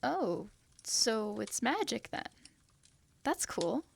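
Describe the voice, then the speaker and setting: A woman's voice: a little high, sing-song, takes it slowly, gravelly, slight background noise, heavily acted. A woman voicing a shy young winged girl who travels with her cat, speaking in a hesitant, apologetic way. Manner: hesitant, apologetic, soft-spoken. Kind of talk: fantasy audio drama acting.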